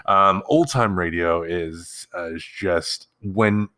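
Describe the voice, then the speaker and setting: A man hosts a casual solo podcast. His voice is fairly low and the sound is dry and close. He talks in a halting, rambling, conversational way. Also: pushed and bright, no room sound. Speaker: podcast host.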